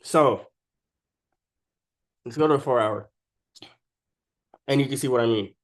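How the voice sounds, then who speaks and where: A man with an average-pitched voice, sick with a cold, talks through market charts in a casual, unscripted way. He is informal and slangy, often tagging his points with a quick check-in question, like a creator explaining his screen to followers. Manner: informal and slangy, keeps tagging statements with "right?". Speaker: stock trading video creator.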